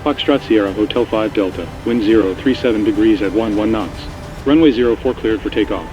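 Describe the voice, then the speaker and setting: Fast low male air traffic controller. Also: music in the background, rough recording. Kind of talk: rapid clipped radio phraseology.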